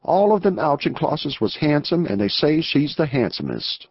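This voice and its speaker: Man doing a greedy rancher, eager and pushy, raised pitch, husky, dull lo-fi audio. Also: very animated, normal speed, no echo. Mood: urgent plotting. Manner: eager, pressing. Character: greedy scheming male rancher, eager plotter.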